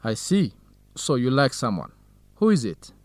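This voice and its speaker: Modest easygoing uni researcher, medium voice, polite n low key, dry radio drama sound. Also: male voice, sing-song, measured pace, darkish tone, dry recording. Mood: easygoing, frank. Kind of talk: educational radio drama acting.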